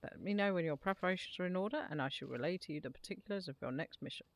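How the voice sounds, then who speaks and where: A woman's voice: on the low side, racing, natural voice. A woman reading out a hearty, bombastic fantasy army commander in a rousing, declamatory game-dialogue delivery. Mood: rousing, commanding. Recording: music behind the voice.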